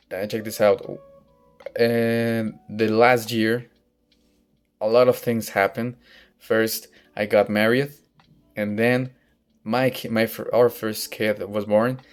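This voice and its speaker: Young mexican guy practicing english, low flat voice, slow and unsure, restarts a lot. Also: clean tone. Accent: Mexican Spanish. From northern Mexico. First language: Spanish. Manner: hesitant, searching for words, frequent restarts. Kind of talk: casual personal monologue. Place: outdoors in sunlight.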